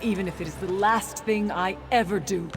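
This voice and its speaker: A woman plays a desert chieftain's daughter in a video game, proud and hard-willed with a bitter edge. Her voice sits at a medium pitch, with music clearly playing behind it. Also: moderate pace, close to an everyday voice. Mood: determined. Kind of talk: video game character dialogue.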